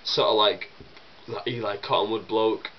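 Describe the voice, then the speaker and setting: A man's voice: fairly low pitch, steady tone, moderate pace, dull audio, a little hiss or hum. A young man with a chesty cold, rambling casually as he answers viewer questions in a vlog. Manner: chatty and rambling, many fillers and restarts. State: chesty with a cold, coughing and sniffling.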